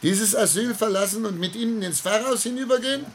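An actor playing a distraught, outcast older gentleman in a German radio play, theatrical and bitter, swinging between hushed tones and outbursts. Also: sits high, fairly quick. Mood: agitated, bitter. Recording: faint noise on old radio recording.

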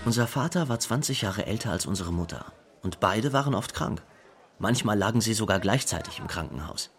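A sombre man played by an actor recalls his family in a radio play. His voice sits fairly low, and he tells it in a sober, measured way. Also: animated, faint music behind, close to an everyday voice. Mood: sombre, reflective. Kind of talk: radio drama monologue.

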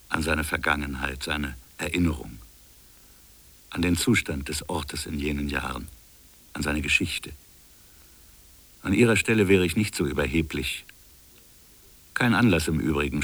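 An actor playing a reflective, defiant colonial official in a literary radio drama, speaking in a measured, deliberate way. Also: male voice, very deep voice, fairly bright tone, full character voice. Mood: indignant. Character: defiant, reflective colonial official defending his invented fable.

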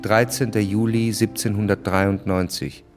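A man's voice: fairly low pitch, little pitch movement, measured pace, close to an everyday voice. A man narrating a radio drama in a scripted literary style. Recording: music behind the voice.

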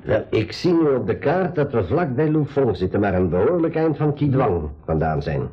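An actor playing a matter-of-fact, level-headed travel companion in a school radio drama. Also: male voice, medium pitch, sing-song, moderate pace, husky, lo-fi sound. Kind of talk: 1980s Dutch-language school radio drama acting.

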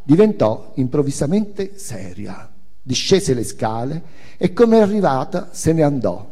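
A man's voice: a little high, very animated, a little slow, dark tone, breathy edge. A man reading an autobiographical memoir as a theatrical narration. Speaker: author-performer reading a memoir. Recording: long hall reverb, music behind. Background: music.

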